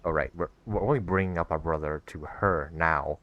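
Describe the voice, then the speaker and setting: Young guy with a low voice chatting thru a game, casual, kinda stop and start. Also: normal speed, no echo, lo-fi sound. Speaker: gaming video host. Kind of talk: casual playthrough commentary.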